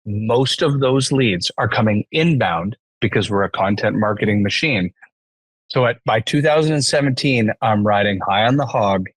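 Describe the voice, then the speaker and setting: A man's voice: fairly low pitch, expressive, moderate pace, slightly rough, dry recording, low-quality recording. A man in his forties with a Canadian accent, telling business stories conversationally as a podcast guest. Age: 40s.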